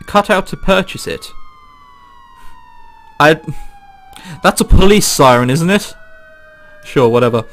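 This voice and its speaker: A man commentating on a game and reading its text aloud in a casual, joking and animated way. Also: fairly high pitch. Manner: animated, joking, frequent swearing and exclamations. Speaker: let's play host.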